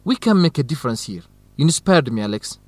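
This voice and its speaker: A man's voice: mid-range voice, big pitch swings, fast, darkish tone, no room sound. A man playing an earnest, supportive young university student in an educational radio drama, speaking reassuringly. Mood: earnest, inspired.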